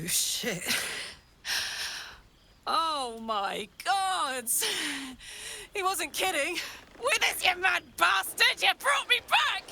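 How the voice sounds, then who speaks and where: Brash warm-hearted fighter, high voice, drawn-out words, exuberant, informal, fantasy game. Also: female voice, creaky, slight background noise, full character voice. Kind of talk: dramatic game voice acting.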